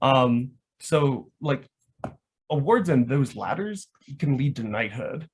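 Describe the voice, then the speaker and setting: American man, mid-pitched voice, casual explainer, rambling, filler-heavy. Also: very animated, slow pace, breathy edge, low-quality recording. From Florida, United States. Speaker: guest, live action roleplay organizer.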